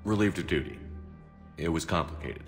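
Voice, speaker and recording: Very deep, terse military commander. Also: male voice, moderate pace, soft background music. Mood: grave. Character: steady, terse male military commander, supportive. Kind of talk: cinematic video game dialogue.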